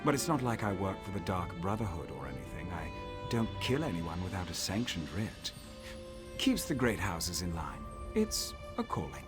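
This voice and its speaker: An actor playing a cocky, sardonic assassin in a fantasy video game, talking in a wry, casual, sly way. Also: male voice, low-pitched, wildly swooping pitch, moderate pace, music in the background. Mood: wry, matter-of-fact. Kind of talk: video game character acting.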